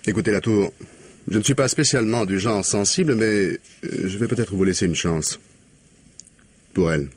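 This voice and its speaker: An actor playing a stubborn police inspector in a 1950s-style French radio drama, blunt and firm. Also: male voice, on the low side, moderate pace, breathy edge. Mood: probing. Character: stubborn, dry-witted male police inspector.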